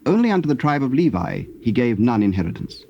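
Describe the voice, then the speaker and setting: A man narrating a dramatized scripture reading from a script in a measured, formal, solemn manner. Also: mid-range voice, big pitch swings, creaky. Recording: muffled old cassette tape, background noise.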